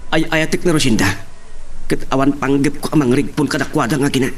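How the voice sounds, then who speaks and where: A man's voice: mid-range voice, fairly quick, slight background noise, natural voice. An actor playing an earnest man in love in an Ilocano radio drama.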